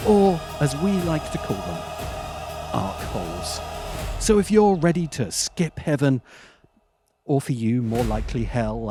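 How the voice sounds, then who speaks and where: Male video essay host: mellow tone, highly animated pitch, dry dramatic emphasis, music underneath. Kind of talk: scripted narration, film commentary.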